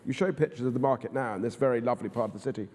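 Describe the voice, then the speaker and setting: A man interviewing a guest onstage at a conference, who talks in halting, hesitant stretches full of fillers. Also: medium pitch, a little mellow. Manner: hesitant, many fillers and restarts. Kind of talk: onstage conversation. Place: conference hall. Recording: reverberant hall.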